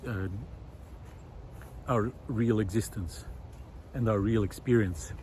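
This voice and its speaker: A middle-aged man musing aloud in a reflective, hesitant monologue with frequent pauses, recorded while walking outdoors. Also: lowish voice, darkish tone. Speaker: visual artist and vlog host. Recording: outdoor ambient noise.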